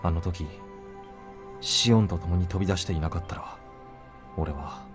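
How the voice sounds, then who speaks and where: A brooding young visual novel hero, played by an actor, low-voiced and intense. His troubled lines come out very fast over soft music. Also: natural voice. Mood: troubled, bitter. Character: brooding young man, troubled and self-doubting. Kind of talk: Japanese visual novel voice acting.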